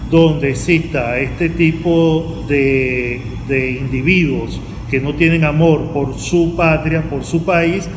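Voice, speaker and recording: A Venezuelan man, a university lecturer, giving his opinion in a panel interview in a halting, repetitive way. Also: a little high. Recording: very echoey hall with loud noise.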